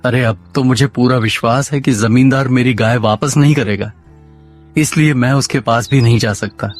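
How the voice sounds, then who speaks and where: A man's voice: medium pitch, steady tone, moderate pace, faint music behind, close to an everyday voice. Lazy, naive village husband played by a man. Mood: resigned. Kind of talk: Hindi cartoon story dialogue.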